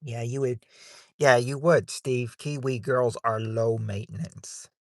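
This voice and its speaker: A man's voice: medium pitch, takes it slowly, no echo, clean studio sound. A man hosting a livestreamed game night, chatting loosely and often restarting his sentences. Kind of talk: casual chat while hosting a game.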